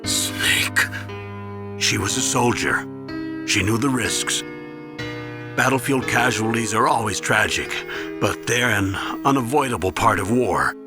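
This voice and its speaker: An actor playing a grave older colonel in a video game, solemn, measured and authoritative. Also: male voice, fairly low pitch, music in the background. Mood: consoling, firm. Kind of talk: dramatic video game voice acting.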